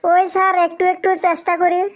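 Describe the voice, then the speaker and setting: A stubborn little cartoon schoolboy, polite yet cheeky. The voice is squeakily high and very flat, with earnest insistence and faint noise behind it. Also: female voice, a little fast. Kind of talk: comedy cartoon dialogue.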